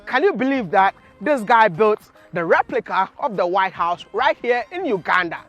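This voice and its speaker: A man's voice: high voice, moderate pace, muffled recording. A man narrating a travel vlog as host, enthusiastic and speaking straight to the viewer. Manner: enthusiastic, lively, addresses the viewer. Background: faint music.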